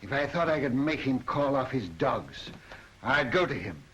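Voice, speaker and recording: An actor playing a proud, determined banker in an emphatic 1930s film style. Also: male voice, mid-range voice, very animated, normal speed, a bit bright, highs cut off, a little hiss or hum. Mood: bitter resolve.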